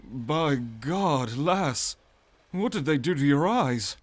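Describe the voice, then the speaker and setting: An actor playing an excitable, concerned ship's crewman who speaks eagerly in an audio adventure. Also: a little high, slow. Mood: shocked. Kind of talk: cinematic audio adventure acting.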